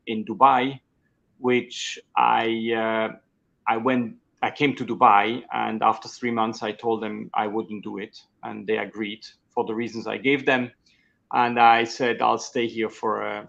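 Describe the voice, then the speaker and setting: A middle-aged man from Switzerland whose first language is Swiss German, telling his story as an interview guest in a halting way, with many restarts. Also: mid-range voice, vocal fry, a bit bright, lo-fi sound. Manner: halting, with frequent restarts and fillers. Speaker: guest, coach. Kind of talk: conversational interview.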